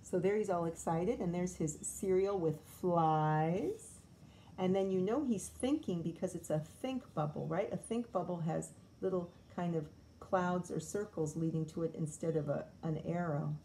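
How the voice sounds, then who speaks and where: A woman's voice: on the low side, moderate pace, warm tone, slight background noise. A woman teacher reading a picture book aloud to young children, expressively, with chatty explanations and questions.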